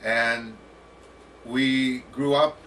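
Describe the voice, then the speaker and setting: American male academic, about 60. Bright, forceful voice, deliberate storytelling, recorded at some distance with faint noise. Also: medium pitch, fairly even intonation. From United States, Detroit. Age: around 60. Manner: deliberate, halting, formal phrasing. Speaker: professor, interview guest. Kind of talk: autobiographical storytelling.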